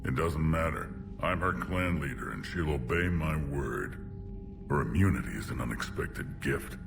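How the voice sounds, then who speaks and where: An actor voicing a huge, proud, menacing alien clan leader in a video game, with a gravelly rumble and a blunt, domineering delivery. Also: male voice, expressive, normal speed, music in the background, exaggerated voice. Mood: dismissive, proud. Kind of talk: video game cutscene acting.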